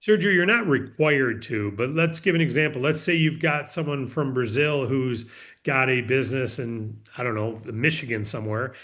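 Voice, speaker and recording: A man's voice: medium pitch, moderate pace, fairly bright tone. A man presenting and explaining in a friendly way, answering questions on a business video call. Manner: friendly, explanatory, talks in long runs.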